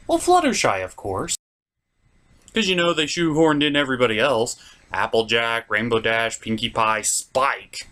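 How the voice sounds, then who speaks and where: A man's voice: mid-range voice, sing-song, unhurried, bright, forceful tone. A man giving a scripted comedic review as host, with an exasperated, sweary, ranting delivery. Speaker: puppet-show reviewer and host.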